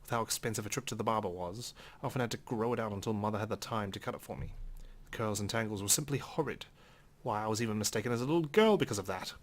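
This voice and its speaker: A man's voice: fairly low pitch, quick pace. A man hosting a game playthrough, reading a visual novel's first-person narration in a dry, theatrical way. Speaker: let's play host.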